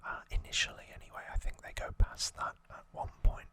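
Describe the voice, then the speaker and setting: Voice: fairly quick, bright, forceful tone. An ASMR host whispering an unscripted story recap in a hesitant, slightly wry way.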